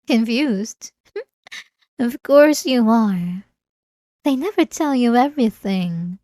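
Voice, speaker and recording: Slow, velvety obsessive vampire seductress, played by a woman. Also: fairly high pitch, animated, no room sound, clean studio sound. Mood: taunting, wistful. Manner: soft, teasing, drawn-out intimate delivery with pet names. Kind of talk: ASMR roleplay audio drama.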